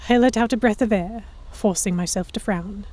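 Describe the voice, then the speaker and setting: A woman playing a stubborn, flustered young noblewoman, narrating her own story in a measured, expressive audio drama style. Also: medium pitch, natural voice. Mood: tense, wistful narration.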